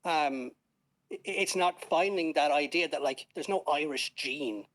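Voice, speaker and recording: A man with a somewhat higher-pitched voice speaks in a casual political discussion. His delivery is earnest and conversational. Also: unhurried, a bit bright.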